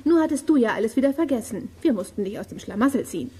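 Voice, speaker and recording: Slightly husky girl detective. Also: a little high, animated, racing, warm tone. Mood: curious, matter-of-fact. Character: eager, practical girl detective. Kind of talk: children's radio play dialogue.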